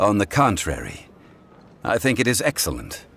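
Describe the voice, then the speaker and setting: An actor playing a calm, ancient god in mortal form in a video game, formal, measured and eloquent. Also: male voice, fairly low pitch, vocal fry, heavy background noise, full character voice. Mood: serene, wistful.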